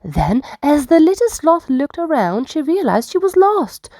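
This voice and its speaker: A woman narrates a children's bedtime story with theatrical expression. Her voice is fairly high and very animated, unhurried, in a close, dry recording. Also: dry recording.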